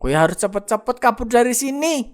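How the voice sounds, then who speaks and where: A woman voicing a sneaky, gloating male swindler in a cartoon, muttering exclamations to himself and quick to curse. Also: on the high side, expressive, background noise, close to an everyday voice. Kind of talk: animated cartoon dialogue.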